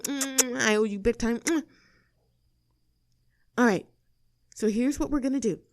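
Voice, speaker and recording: A woman's voice: mid-range voice, darkish tone, no room sound, natural voice. Woman acting sassy mean girl, close dry sound. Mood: chatty, conspiratorial, then scheming. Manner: snarky, bossy, teasing, self-assured. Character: sassy rebellious mean girl, cocky young troublemaker. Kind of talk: acted audio roleplay addressed to the listener.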